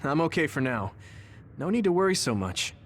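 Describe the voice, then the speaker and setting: Earnest young soldier, higher-toned. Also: male voice, big pitch swings, normal speed. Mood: reassuring. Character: earnest young male psychic soldier, gentle and uneasy. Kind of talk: video game cutscene acting.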